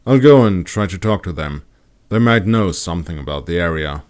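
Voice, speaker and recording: A man's voice: sits low, expressive, a little fast, darkish tone. A man playing a bold, curious expedition leader with an assured, explanatory manner in an audiobook.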